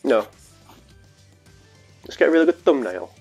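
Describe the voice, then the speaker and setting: A man's voice: medium pitch, very animated, a little slow, darkish tone, slightly rough. Young northern english guy, vlogger, playful chatty rambling, lapel mic, faint music behind. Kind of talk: casual chat to camera. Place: small room at home, later outdoors in a park.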